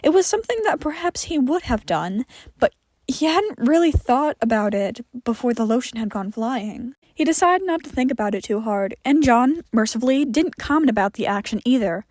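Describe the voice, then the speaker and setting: A woman's voice: high-pitched, animated, moderate pace, a little mellow, no echo. A woman reading scripted fiction aloud as a narrator.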